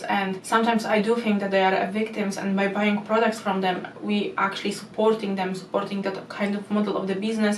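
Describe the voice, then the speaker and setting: A woman with a Polish accent talks to camera in an earnest, casual way, restarting often as she works through her non-native phrasing. Her pitch stays flat and even. She sits back from the mic in an echoey room, with a faint hum behind her. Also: distant mic. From Poland. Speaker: YouTuber. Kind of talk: casual explainer monologue. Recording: roomy echo, speaker away from the mic.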